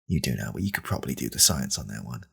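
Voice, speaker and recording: Man playing very deep, gravelly, pompous podcast host. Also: full character voice. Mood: brooding anger. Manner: pompous, deliberate, deadpan ranting. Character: angry right-wing male podcast host, pompous, conspiratorial. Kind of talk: deadpan parody podcast monologue.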